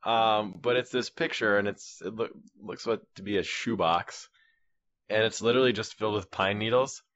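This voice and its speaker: A man's voice: on the low side, normal speed, fairly bright tone. A man co-hosting a podcast, telling rambling everyday stories in casual chat. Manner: rambling storyteller, acts out dialogue. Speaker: podcast co-host. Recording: dull lo-fi sound.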